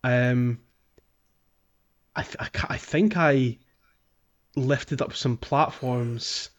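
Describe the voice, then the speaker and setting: A man's voice: mid-range voice, very slow, muffled recording. Casual, chuckling man chatting on a podcast. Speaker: podcast co-host. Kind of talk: casual video game podcast chat.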